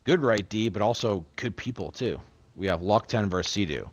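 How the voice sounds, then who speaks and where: Deep-voiced young man, loose podcast chatter. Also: expressive, normal speed, no room sound, lo-fi sound. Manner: laid-back, rambling, swears freely. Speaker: podcast host. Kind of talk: casual chat.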